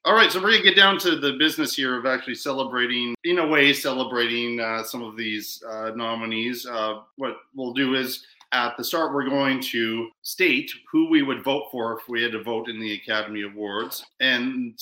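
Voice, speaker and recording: Podcast host, man, very bright, forceful tone, stop-start delivery with lots of repeats, recorded in an echoey room. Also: mid-range voice. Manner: halting, with frequent restarts and stammered repeats. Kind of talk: casual chat about film awards.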